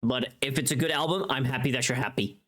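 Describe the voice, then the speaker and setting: A woman chatting casually to viewers on a livestream, talking in a scattered, expressive and self-deprecating way. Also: very deep voice, fast talker.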